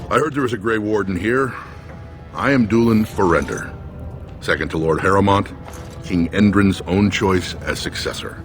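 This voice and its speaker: A man's voice: low voice, moderate pace, soft background music. An actor playing an earnest, guarded dwarf nobleman's loyal second, speaking formally and with measure in a fantasy game. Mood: courteous, guarded. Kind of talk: dramatic fantasy game acting.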